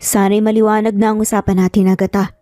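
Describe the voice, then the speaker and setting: A woman's voice: mid-range voice, flat delivery, fast, dark tone, no room sound, clean studio sound, soft background music, close to an everyday voice. A woman voicing a cruel, rich older mother in a story, stern, sarcastic and commanding. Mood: angry, threatening.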